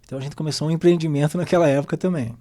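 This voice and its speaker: A middle-aged man from western Santa Catarina, telling his life story as a guest in a relaxed podcast chat. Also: a little high, racing. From western Santa Catarina, Brazil. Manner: relaxed storytelling, frequent tag questions. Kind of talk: casual podcast conversation.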